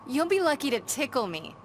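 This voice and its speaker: A lively, confident superheroine. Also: female voice, high-pitched, a little slow, a little hiss or hum. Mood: cocky. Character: confident warrior superheroine, challenging and playful. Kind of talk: video game pre-fight dialogue. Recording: loud music behind game dialogue.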